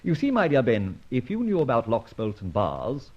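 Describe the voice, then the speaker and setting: Suave amateur detective for vintage radio drama: very animated, teasing, flowery, slightly husky, dull old recording. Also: male voice, mid-range voice, moderate pace. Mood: playful, confident. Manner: flowery, teasing, theatrical speech. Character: suave, playful amateur sleuth, theatrical and self-assured.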